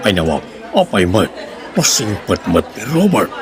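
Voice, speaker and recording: A man with a Filipino accent playing an evasive, placating cheating husband in a radio comedy drama, in a husky voice. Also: lowish voice, very animated, normal speed, crowd noise. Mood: amused, casual.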